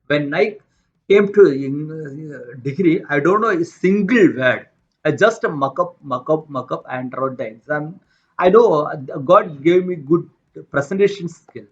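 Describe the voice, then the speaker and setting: A man with a Tamil accent, a native Tamil speaker from India, talking about his own life in a halting way, with many restarts and repeated words. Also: fairly high pitch. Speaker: motivational vlogger. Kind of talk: unscripted personal talk. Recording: dull lo-fi sound.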